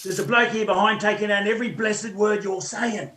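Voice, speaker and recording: A man playing a cheeky, matey street bystander in a staged play reading, loud and informal, heard from off in an echoey room. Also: high-pitched, fairly even intonation, a little fast, heavy background noise, natural voice. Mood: teasing, warning. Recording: echoey room, far from microphone.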